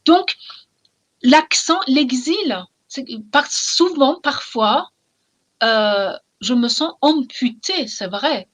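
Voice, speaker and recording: A middle-aged woman from Iran speaks French with a clear Persian accent, which she learned as an adult. Her voice sits fairly high for a woman. She talks with passion and emphasis, and she pauses often and repeats phrases to drive her point home. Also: animated. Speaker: novelist, interview guest. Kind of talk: reflective interview talk.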